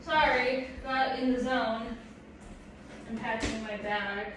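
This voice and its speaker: An American woman chatting casually to camera as a vlogger, in a halting, reflective way that trails off mid-thought. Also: fairly high pitch, steady tone. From United States. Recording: roomy echo with background noise.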